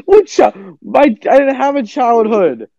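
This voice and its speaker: A man's voice: very high-pitched, sing-song, unhurried, gravelly, warm tone, dry recording, lo-fi sound. A man co-hosting a comedy podcast, laughing often and reacting with disbelief. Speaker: podcast co-host. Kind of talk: improvised comedy banter.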